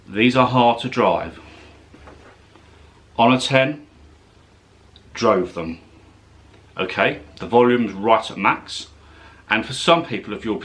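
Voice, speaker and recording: A man with a fairly low-pitched voice talks through a product review. His delivery is casual and unscripted, with an informal, slightly hesitant way of explaining things. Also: fairly bright tone. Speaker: tech reviewer.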